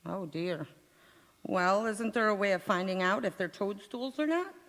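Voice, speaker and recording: A woman's voice: mid-range voice, animated, normal speed, a bit bright. A woman playing a breezy, naive, overconfident housewife in a vintage radio comedy on stage. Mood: naive, dithering. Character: scatterbrained, cheerfully overconfident housewife in a radio sitcom. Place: large indoor hall, live stage performance. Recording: reverberant church hall stage recording.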